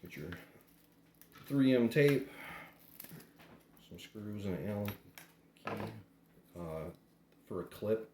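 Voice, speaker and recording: Casting: male product reviewer with a low voice, hesitant and unpolished, recorded in an echoey room with faint noise. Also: expressive, slow, long pauses, a little mellow. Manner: unscripted, hesitant, plain-spoken. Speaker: product reviewer doing an unboxing. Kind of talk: casual hands-on unboxing commentary. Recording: roomy echo, faint background noise.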